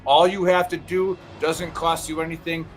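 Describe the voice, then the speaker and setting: A man's voice: fairly high pitch, level tone, normal speed, soft background music. A man giving an upbeat, salesy promotional announcement for an upcoming show.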